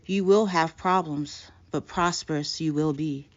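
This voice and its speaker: A calm, solemn blind street seer , recited by a woman, foretells troubles in rhyming narrative verse. The man speaks like an oracle, foreboding and steady. The recording is dull and lo-fi. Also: sits low, unhurried. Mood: solemn foretelling.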